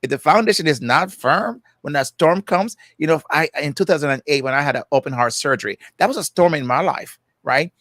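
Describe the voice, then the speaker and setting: A man's voice: on the high side, brisk, hardly any pauses, fairly bright tone, dry recording, studio quality. A man in his sixties with a Jamaican accent, a guest speaking reflectively in casual conversation and often adding "you know" and "right".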